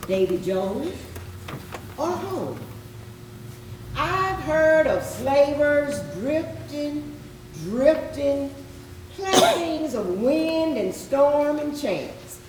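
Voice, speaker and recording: A woman playing a bitter, brooding poetic voice in a drawn-out, incantatory readers theatre recital, heard from afar in a very echoey, noisy hall. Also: sits high, animated, exaggerated voice. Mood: ominous. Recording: very echoey hall, distant mic, background noise.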